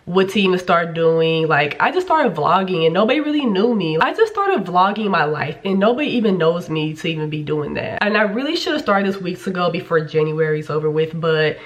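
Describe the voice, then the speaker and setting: A woman's voice: lowish voice, expressive, fairly quick, hardly any pauses, highs cut off. Chatty twenty-something woman with a stuffy cold. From Kansas City, Kansas. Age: 20s. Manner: chatty and candid, casual swearing and frequent fillers. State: nasal from a recent cold. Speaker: vlogger. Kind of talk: casual get-to-know-me vlog.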